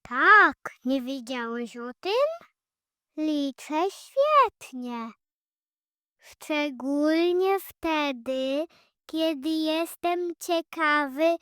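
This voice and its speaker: A woman voicing an eager, chatty little squirrel boy with an excited, childlike manner in a children's audio fairy tale. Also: sits high, no echo, clean studio sound, voice pushed up, full character voice. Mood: proud, excited.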